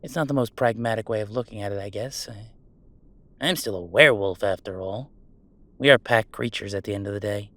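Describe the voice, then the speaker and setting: A man's voice: fairly low pitch, a little fast, a bit bright, dry recording, natural voice. A man voicing a playful, self-deprecating werewolf streamer in a one-sided roleplay audio drama, full of teasing banter and dramatic sarcasm. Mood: sincere, modest. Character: wisecracking young male werewolf streamer, affectionate and self-deprecating.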